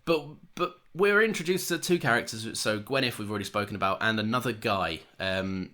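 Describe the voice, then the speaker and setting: A man's voice: fairly low pitch, brisk. A man co-hosting a casual podcast chat, joking and laughing often. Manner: animated, laughs often, jokey. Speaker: podcast co-host.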